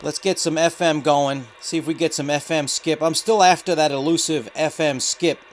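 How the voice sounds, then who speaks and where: A man's voice: on the high side, steady tone, normal speed. A man giving casual hobby commentary as host of a radio demonstration, with static and radio chatter behind him. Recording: noisy room with radio static.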